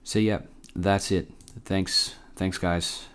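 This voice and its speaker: A man hosting a casual software tutorial, informal and a bit hesitant as he thinks aloud. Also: deep voice, little pitch movement, slow pace, a little hiss or hum. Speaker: tutorial host.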